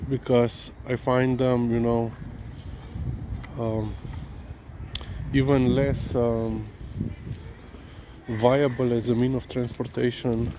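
A man talking haltingly on a dog walk outdoors, filling pauses with "you know" and "um". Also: medium pitch, flat delivery. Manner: halting, many fillers and restarts. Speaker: dog-walking vlogger. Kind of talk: casual walk-and-talk monologue. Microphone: camera held low, walking. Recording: outdoor noise behind the voice.

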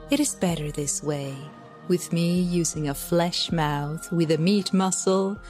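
A woman playing an otherworldly divine messenger who was once human, formal and deliberate, in an audio drama. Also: lowish voice, darkish tone, natural voice. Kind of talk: scripted audio drama monologue and narration.

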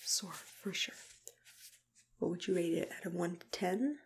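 Whispering young woman, gentle ASMR dentist. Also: on the low side. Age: young adult. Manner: soft-spoken, gentle, reassuring, unhurried. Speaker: ASMR creator playing a dentist. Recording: clear background noise and room ambience.

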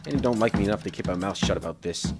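An actor playing a hapless, scheming ex-cop in an audio drama, whiny and wheedling in a colloquial way. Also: male voice, on the low side, rapid-fire, over loud music, natural voice. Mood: panicked. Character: hapless, scheming male ex-cop, pleading small-time crook. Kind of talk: noir audio drama acting.

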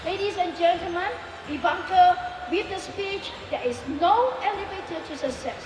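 A woman's voice: very high voice, normal speed, distant mic, background noise. A middle-aged woman hosting a stage event, introducing a student and promoting a speaking program. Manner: upbeat, promotional. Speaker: event host, speaking coach. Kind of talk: emcee introduction. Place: indoor mall stage. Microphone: handheld mic, far camera. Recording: echoey mall heard from afar with music.

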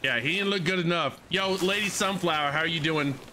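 A man in his thirties streams a game from a small room at home, talking into a headset mic. His voice is bright and pushy, sitting a little high for a man, and it is starting to go from a cough. He chats loosely, says most things twice and swears now and then. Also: a little fast, slight background noise. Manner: repeats his phrases, swears casually, talks over gameplay. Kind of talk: casual live-stream chat. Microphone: headset microphone.

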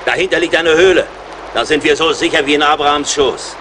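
A man's voice: a little high, brisk, natural voice. A man playing a middle-aged, wry and watchful frontier scout in a German audio drama. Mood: urgent, commanding. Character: seasoned frontier scout, wry, plain-spoken, watchful, loyal. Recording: reverberant, noisy outdoor-like ambience.

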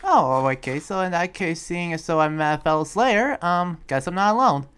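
A person improvising a relaxed demon slayer in a game roleplay, with a hesitant, halting delivery. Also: mid-range voice, clean tone. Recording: music behind the voice.

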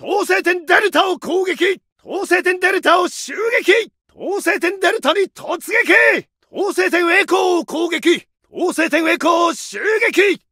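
An actor playing a fierce Japanese infantry soldier, barking aggressive combat orders in a game. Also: male voice, very high-pitched, a little slow, few pauses, sharp tone, no room sound, shouting, clean studio sound, full character voice. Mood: shouting orders. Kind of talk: shouted combat barks.

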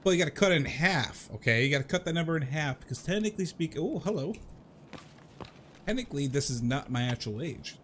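A man's voice: a little high, lively intonation, fairly quick, fairly bright tone, slight background noise. A man in his thirties chatting casually to viewers as he plays a game, rambling and repeating himself in an informal way. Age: 30s. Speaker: video game livestream host.

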